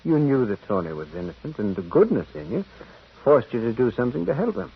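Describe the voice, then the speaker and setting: An actor playing a gentle, shrewd priest detective, hesitant and deliberate, quietly moralizing in 1940s American radio drama style. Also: male voice, mid-range voice, very animated, vocal fry, breathy edge, heavily acted. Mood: grave compassion. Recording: muffled vintage radio broadcast.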